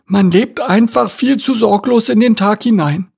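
Storybook tortoise, female, timid and caring, performed by a man; raised, slightly husky voice, earnest worry. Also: high voice, unhurried, a little mellow, pitched above the performer's own voice, full character voice. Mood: alarmed, cautioning. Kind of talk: storybook character voice.

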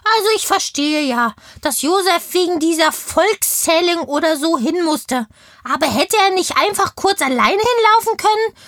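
A woman's voice: very high voice, expressive, moderate pace, fairly bright tone, no room sound, clean studio sound, exaggerated voice. A woman voicing a cheeky, curious kindergarten girl who chats in childlike slang in a children's audio play. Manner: chatty, childlike slangy German.

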